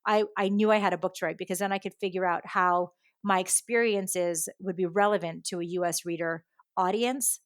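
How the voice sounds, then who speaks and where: A woman in her forties from the United States, a podcast guest telling her story in a brisk, candid way. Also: lowish voice, fairly even intonation, no echo, clean studio sound. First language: Japanese. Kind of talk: podcast interview.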